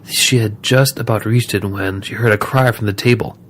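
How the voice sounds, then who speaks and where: A man's voice: lowish voice, steady tone, normal speed. A man reading scripted story narration as the narrator of an audio drama.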